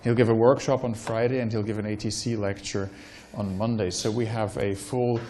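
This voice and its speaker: An older man stands in a lecture hall with a handheld mic and introduces a guest. His voice is fairly low and halting, full of fillers, with faint clicks and room noise behind him. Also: fairly even intonation, darkish tone. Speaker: event host. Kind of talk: speaker introduction.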